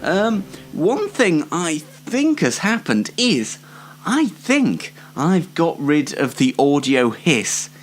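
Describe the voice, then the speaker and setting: Casting: British man, 20, solo show host, dry and rambling, with swooping intonation and slow delivery. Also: on the high side, huge pitch swings, faint music behind. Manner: dry, tongue-in-cheek, rambling. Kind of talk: informal solo show presenting.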